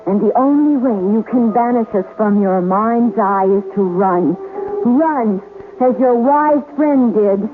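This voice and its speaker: A coquettish yet menacing female ghost played by a woman in a vintage radio play. She speaks slowly and theatrically, taunting as she goes. The sound is muffled, with music clearly playing underneath. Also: a little high, natural voice. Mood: eerie, taunting. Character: ghost of a murdered captain's wife, coquettish and menacing. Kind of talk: 1970s radio drama acting.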